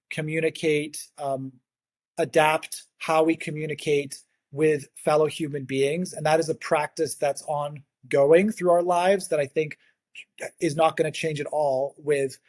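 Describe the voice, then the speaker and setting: A man, a native English speaker, giving earnest explanatory interview answers as a guest, sometimes halting and repeating words. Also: on the high side, a little mellow, dry recording, clean studio sound. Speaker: guest, agritech consultancy founder.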